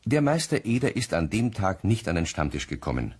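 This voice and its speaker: A man's voice: sits low, sing-song, fairly quick, slightly rough, heavily acted. A man as a genial storyteller, chattily narrating a radio play for children. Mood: genial storytelling. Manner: chatty, addresses listeners directly.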